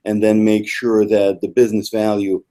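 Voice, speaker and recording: A middle-aged man from Ukraine with a Ukrainian accent, a guest speaking in a measured way with small slips and restarts. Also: fairly low pitch, flat delivery, mellow. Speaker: guest, institute co-founder. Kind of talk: video interview.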